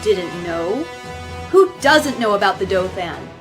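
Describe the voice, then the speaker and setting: A woman performs as a stern female religious leader, zealous and formal. She preaches and commands in audio drama style, with music clearly playing behind her. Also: mid-range voice, sing-song, slow. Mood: stern, accusing.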